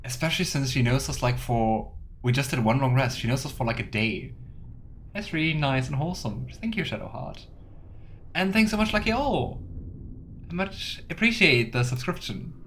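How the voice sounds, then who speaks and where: A man's voice: medium pitch, expressive, normal speed, fairly bright tone, a little hiss or hum. A man giving casual, chatty game commentary as a let's play streamer, sometimes reading game text aloud.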